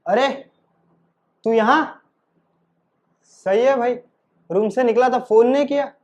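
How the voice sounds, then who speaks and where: Male acting student, high voice, slow halting delivery of rehearsed lines, dull recording. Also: a little mellow. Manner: halting, repeating lines phrase by phrase. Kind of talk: rehearsing short dialogue lines aloud in class.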